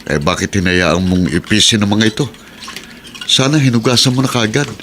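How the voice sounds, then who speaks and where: A man's voice: on the low side, animated, moderate pace, a bit bright. An actor playing a weary, nagging husband and devoted father in a Filipino radio drama, grumbling with a Filipino accent. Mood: exasperated. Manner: grumbling, reproachful. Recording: noisy radio drama recording.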